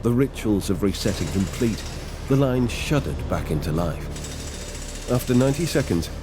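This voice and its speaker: A man performing scripted dramatic narration of a grim science fiction story in a measured, deliberate way. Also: on the low side, expressive, over music.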